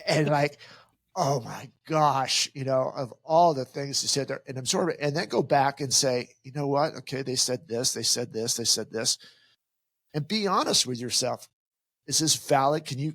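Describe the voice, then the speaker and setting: A man's voice: medium pitch, normal speed, a bit bright, dry recording. A middle-aged man co-hosting a podcast, giving advice in an emphatic way and acting out the inner voices he quotes. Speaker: podcast co-host. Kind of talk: casual advice chat.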